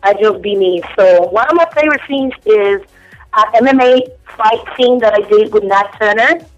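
A woman's voice: mid-range voice, moderate pace, no echo, soft background music. A young woman from Cuba with a Spanish accent, talking frankly and giggling as a phone-in guest on a casual radio interview. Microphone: telephone. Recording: dull lo-fi phone line.

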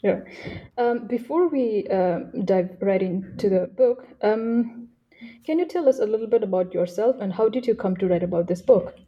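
A woman's voice: medium pitch, animated, moderate pace, slightly rough, highs cut off. A woman hosting a podcast, reading out an introduction and putting questions in an interview style. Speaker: podcast host. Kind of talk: scripted introduction read aloud, then interview.

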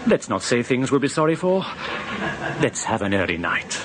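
An actor in a radio drama, playing a harried, placating young bridegroom. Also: male voice, medium pitch, very animated, normal speed, natural voice. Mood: exasperated. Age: young adult. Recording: noisy old radio drama recording.

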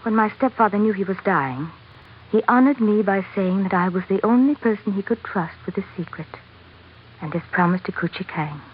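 A woman's voice: mid-range voice, animated, a little fast, natural voice. A woman playing a devoted, educated young sultana with an earnest manner in an old radio drama. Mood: confiding. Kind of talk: 1940s radio drama acting. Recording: dull, noisy old radio recording.

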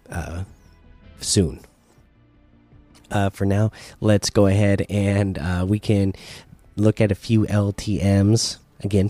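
A man with a fading voice hosts a casual solo gaming podcast. His tone is low and mellow, and he reads item after item evenly with frequent uhs into a close mic. Faint music plays beneath him. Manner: reads lists in an even, plain way with frequent uhs. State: losing his voice. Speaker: podcast host.

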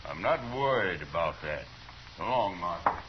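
An American actor playing a stubborn cattle rancher in a 1950s radio Western, with a clipped, firm, terse delivery. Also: male voice, deep voice, big pitch swings, moderate pace. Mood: grimly determined. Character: stubborn, stern cattle rancher, adult man. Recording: muffled, noisy 1950s radio recording.

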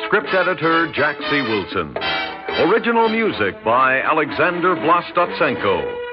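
A man's voice: a little high, sing-song, moderate pace, over loud music. A man with an American accent reading credits formally as a 1960s radio announcer. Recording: muffled old radio broadcast.

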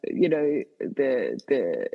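Breathy British woman, hesitant. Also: very deep voice, wildly swooping pitch, extremely slow, in a large hall, phone-quality sound. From Britain. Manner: hesitant, many fillers and restarts. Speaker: author and guest. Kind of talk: conversational interview answers.

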